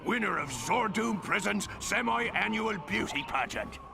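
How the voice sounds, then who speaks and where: An actor playing a sneering, boastful undead pirate captain with a very gravelly voice in a video game. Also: male voice, sits high, very animated, unhurried, over music, heavily acted. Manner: sarcastic sneering. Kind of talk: video game villain acting.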